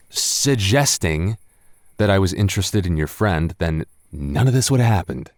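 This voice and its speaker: Deep-voiced, flustered tipsy roommate played by a man. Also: darkish tone, no echo. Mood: frustrated, smitten. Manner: stammering, defensive, conversational. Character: tipsy, flustered, lovestruck male roommate, defensive but flirty. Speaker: voice actor. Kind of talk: intimate roleplay audio acting.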